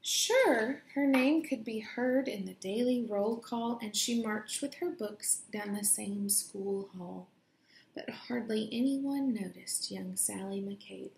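A young woman reading a children's picture book aloud in a deliberate, expressive storytelling way, recorded in a room at home. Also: medium pitch, creaky, slight background noise. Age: young adult. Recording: roomy home echo.

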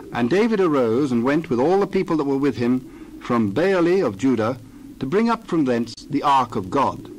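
A man narrates a dramatized Bible passage in a steady, even reading voice. His pitch is in a typical middle range. A light hiss of background noise sits under the voice. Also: rough recording. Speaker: scripture reader.

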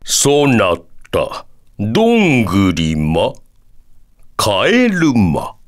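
A man voicing a wise, proud elderly bear-like sage in a video game, measured and calm, ending sentences with a quirky verbal tic. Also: lowish voice, heavily acted. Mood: courteous. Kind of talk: video game character voice acting.

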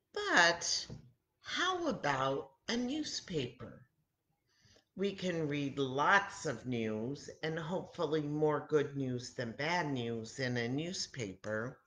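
An older woman, around her sixties, talks to young children as a Bible story time teacher. Her voice sits on the low side and swings expressively through simple phrases. She goes very slowly, leaving room between thoughts. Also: a bit bright. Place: room at home beside a kitchen, indoors. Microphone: laptop or webcam mic at arm's length. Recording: roomy, echoey home webcam sound.

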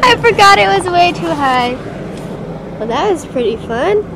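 A woman's voice: very high-pitched, big pitch swings, unhurried. A teenage girl vlogging from the back seat of a moving car, chatty, giggly and playful, filmed on a handheld camera at arm's length. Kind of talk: casual selfie vlog. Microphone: built-in camera held at arm's length. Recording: loud car road noise.

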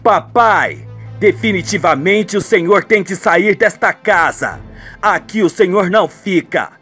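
A man's voice: high-pitched, expressive, measured pace, fairly bright tone, husky, soft background music, full character voice. A man playing a disillusioned, indignant young adult son in a radio soap opera.

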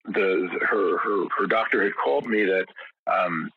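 Husky, low-voiced man telling stories. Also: moderate pace, no echo, phone-quality sound. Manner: rambling, many restarts and repeated words. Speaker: guest musician. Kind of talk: casual interview storytelling.

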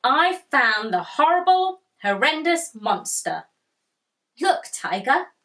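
A woman voicing a curious, gentle young storybook dog in a hushed, wondering way. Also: high-pitched, big pitch swings, takes it slowly, pitched above the performer's own voice, heavily acted. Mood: pleased.

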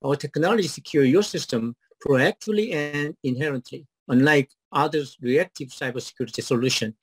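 A middle-aged man with a Korean accent, a startup founder giving a rehearsed pitch and then answering questions haltingly with many fillers over a video call. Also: slightly rough, dry recording. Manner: hesitant, many fillers and restarts when answering. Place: home office on video call. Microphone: video call webcam.

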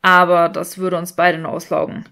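A woman voicing an irritable, pragmatic young male wizard in an audiobook, terse and forceful. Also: lowish voice, little pitch movement, normal speed, gravelly. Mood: calculating.

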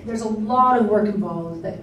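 An actress on a convention panel reflecting earnestly on auditions and acting work. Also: female voice, medium pitch, normal speed, a little hiss or hum. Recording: echoey hall from far off.

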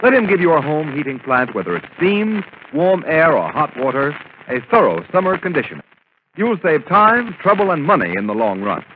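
Brisk radio announcer, tinny old broadcast. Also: male voice, a little high, big pitch swings, slight background noise. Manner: brisk, persuasive. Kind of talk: radio commercial read.

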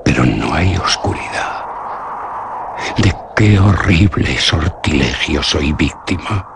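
Horror radio play: a feverish, lost, haunted man, Castilian Spanish, solemn and theatrical, low gravelly voice on a noisy recording. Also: lively intonation, slow, heavily acted. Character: anguished, feverish lost man narrating his own haunting.

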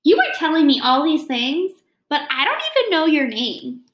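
Woman reader as a storybook little girl, very high, plaintive and earnest, echoey room. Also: sing-song, normal speed, a bit bright. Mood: puzzled. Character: young girl, doubtful but determined.

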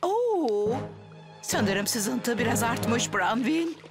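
Cartoon village lady: cheerful, high voice full of swoops, loud music behind. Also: very animated, drawn-out words, heavily acted. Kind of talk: animated children's cartoon dub.

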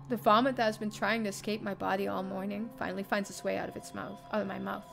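A young woman streamer reading a visual novel aloud as expressive, dramatic first-person narration. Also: mid-range voice, vocal fry. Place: indoor room, livestream setup.

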